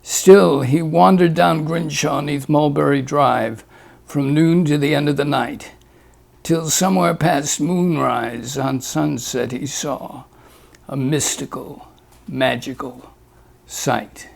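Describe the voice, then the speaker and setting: A man's voice: a little high, fairly even intonation, slow pace, creaky. A man reading a rhyming fable aloud in a lilting, rhythmic delivery. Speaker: storyteller.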